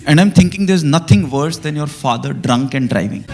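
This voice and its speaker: Male stand-up comic, Indian accent, high and lively voice, conversational storyteller who holds back the punchline. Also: moderate pace. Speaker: stand-up comedian. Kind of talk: stand-up comedy.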